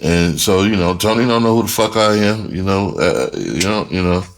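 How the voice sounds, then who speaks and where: A man's voice: sits low, expressive, normal speed, slight background noise. A middle-aged American man telling stories casually and in slang, in a rambling way that often repeats phrases. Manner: rambling, repeats phrases, chuckles while reminiscing. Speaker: music producer and storyteller. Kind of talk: casual storytelling monologue, slangy and profane.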